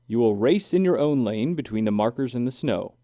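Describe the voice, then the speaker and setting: A man's voice: mid-range voice, animated, a little fast, dark tone, no room sound, clean studio sound, close to an everyday voice. A man playing a male animal judge in a storybook, laying out rules in an official, instructive manner.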